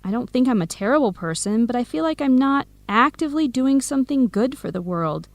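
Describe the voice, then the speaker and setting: A woman's voice: on the high side, animated, fairly quick, gravelly, dry recording. A woman playing a young university student, friendly and sincere, in a scripted educational radio drama. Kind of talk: scripted radio drama acting for English learners.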